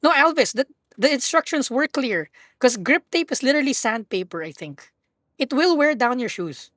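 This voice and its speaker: A woman with a husky voice, hosting a live stream and rambling playfully while she plays. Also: high-pitched, animated, normal speed, a bit bright, no room sound, clean studio sound. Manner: rambling, self-correcting, playful. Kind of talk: casual live-stream chat while gaming.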